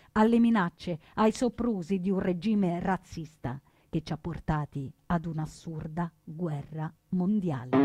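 An actress playing a proud young woman partisan in her twenties, telling her story in measured, earnest theatrical narration. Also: lowish voice, a little mellow. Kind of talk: scripted theatrical first-person narration. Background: plucked guitar music.

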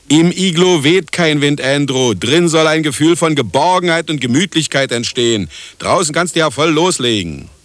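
An actor playing an aging, literate Nobel laureate, wry and doubting, in a radio drama. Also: male voice, a little high, lively intonation, normal speed, few pauses, fairly bright tone, dry recording. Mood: brisk irritation. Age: older.

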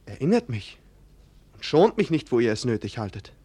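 Casting: obedient young aristocrat, medium-pitched, earnest and formal, vintage radio drama. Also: male voice, big pitch swings, moderate pace, mellow, slightly rough. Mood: obedient, subdued. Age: young adult. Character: dutiful, open-hearted young nobleman, obedient son. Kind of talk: 1940s German radio play acting.

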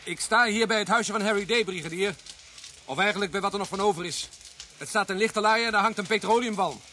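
An actor playing an eager, respectful junior police constable in a radio crime drama. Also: male voice, high voice, brisk, lightly acted. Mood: urgent, reporting. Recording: noisy old radio drama recording.

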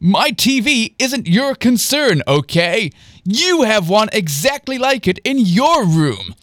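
A man's voice: high voice, very animated, moderate pace, a bit bright, no room sound, studio quality, voice pushed up. Man playing a camp, proud, bossy young man. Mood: irritated, defensive. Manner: camp, smug, grandly declaiming. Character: Andy, flamboyant young man, proud, manic, bossy enthusiast.

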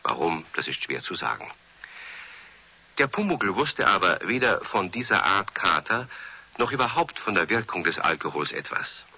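A man narrating a children's radio play as a dry, wry storyteller with a husky voice. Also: low-pitched, big pitch swings, moderate pace, pushed and bright, exaggerated voice. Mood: wry, explanatory.

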